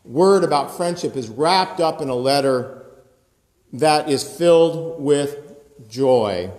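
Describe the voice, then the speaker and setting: A man's voice: a little high, darkish tone. A man in his fifties preaching a sermon in a conversational way, filmed close up on a phone in a church sanctuary. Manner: conversational preaching with gestures and asides. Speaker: pastor. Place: church sanctuary with high ceiling. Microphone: phone camera close up, looking upward. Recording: echoey church with long reverb.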